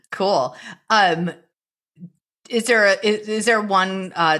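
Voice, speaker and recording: Low-voiced woman hosting a casual interview. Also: a bit bright. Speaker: interview show host.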